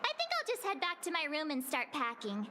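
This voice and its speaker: A woman voicing a perky, outspoken teenage girl adventurer in an anime-style game, chatty and expressive. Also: high voice, brisk, background noise. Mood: chatty, concerned. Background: game music.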